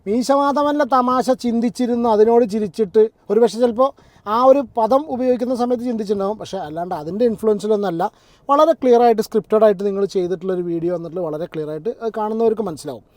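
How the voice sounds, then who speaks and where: Male commentator, high-pitched but mellow, close dry sound, conversational and rambling. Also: fast, no room sound. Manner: conversational, digressive, repeats and rephrases himself. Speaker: YouTube commentator reacting to online controversy. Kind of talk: casual spoken commentary.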